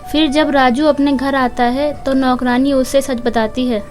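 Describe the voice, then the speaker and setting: Woman narrator, high voice, flat intonation, scripted children's storytelling, background music. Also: a little slow. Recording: music behind the voice.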